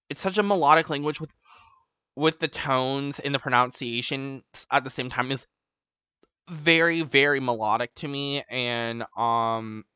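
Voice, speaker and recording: A man talking through an unscripted vlog update in a bright, forceful voice that sounds very smooth and crisp. He rambles and fills pauses with ums, and he is openly enthusiastic about languages. The sound is close and dry. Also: medium pitch, no room sound. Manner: rambling, full of ums and restarts. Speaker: language-learning channel host.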